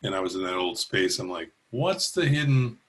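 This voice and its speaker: A man speaking as a guest over a video call, talking haltingly with many restarts and light laughs. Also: sits low, sing-song, a bit bright. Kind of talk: casual chat.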